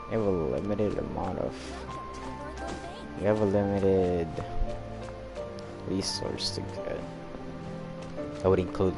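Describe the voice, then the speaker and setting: A man's voice: deep voice, gravelly. A young man giving casual gameplay commentary on a stream, rambling hesitantly and often trailing off. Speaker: game live streamer.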